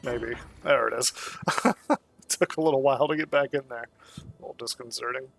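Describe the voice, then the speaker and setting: A man getting over a cold gives relaxed, rambling commentary while playing a space game, with frequent ums and short laughs. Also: medium pitch, moderate pace, creaky, no room sound. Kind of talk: casual live-stream commentary.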